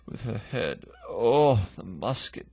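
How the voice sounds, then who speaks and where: A man giving a theatrical, dramatic poetry recitation in a breathy, gravelly voice, moving between hush and forceful declamation. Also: fairly low pitch, very animated, slow, dry recording. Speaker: poetry reader.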